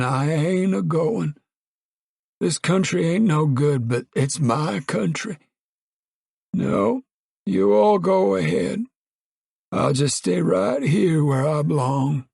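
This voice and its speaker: A man voicing a stubborn, cantankerous old male farmer with a drawl who cusses defiantly in a high, shrill voice. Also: creaky, darkish tone, slightly rough, no echo, clean studio sound, exaggerated voice.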